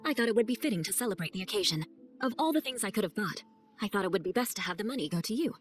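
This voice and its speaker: A woman's voice: mid-range voice, expressive, very fast, faint music behind, close to an everyday voice. A woman voicing a proud, aloof young knight captain in a video game, speaking formally and matter-of-factly. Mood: businesslike. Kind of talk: game cutscene voice acting.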